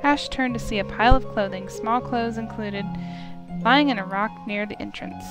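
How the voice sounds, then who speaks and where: A woman's voice: fairly high pitch, moderate pace. Woman narrating a story over music. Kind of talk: scripted visual novel narration.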